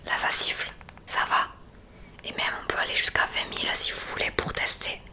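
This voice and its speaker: A woman speaks in a breathy, bright whisper. She plays an examiner in an ASMR roleplay, talking directly to the listener. Her manner is gentle and attentive as she explains each step. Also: normal speed. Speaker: ASMR creator playing a hearing examiner.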